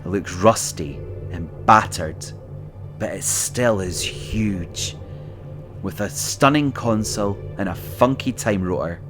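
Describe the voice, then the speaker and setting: A man's voice: lowish voice, slow, over music. A man reading scripted narration for an audio drama.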